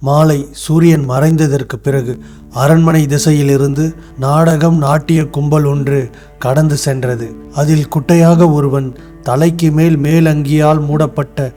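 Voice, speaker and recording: A man acts out an earnest village record keeper for a cartoon folktale. His voice sits a little high but stays mellow and soft-edged, polite and deferential, with faint music behind. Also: close to an everyday voice. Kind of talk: acted dialogue in animated moral tale.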